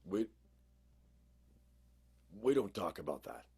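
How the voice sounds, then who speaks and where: An actor playing a saucy, aging vaudeville contortionist in an improvised comic radio play, gravelly and husky, with folksy, drawn-out phrasing. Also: male voice, sits low, very animated, dark tone, exaggerated voice. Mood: cagey, folksy.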